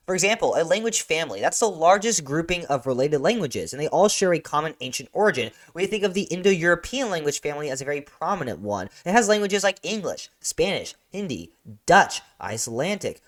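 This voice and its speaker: Fast-talking teacher, upbeat. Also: male voice, on the high side. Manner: energetic, explanatory, quick delivery with casual asides. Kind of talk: review lesson with slides.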